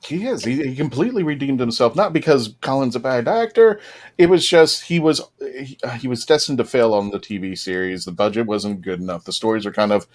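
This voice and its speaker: A man hosting a casual panel discussion, talking earnestly and haltingly, rephrasing as he goes. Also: mid-range voice, expressive. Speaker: podcast host.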